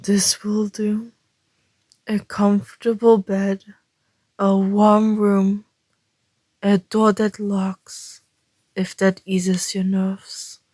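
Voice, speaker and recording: An ancient, teasing vampire acted by a woman murmurs in a dark, velvety, breathy voice that often slips into a whisper. The delivery is extremely slow and deliberate, with little rise or fall in pitch. It is an intimate ASMR performance, calm, mysterious and flirtatious. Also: no room sound. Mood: gently teasing. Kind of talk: ASMR roleplay, intimate scripted monologue.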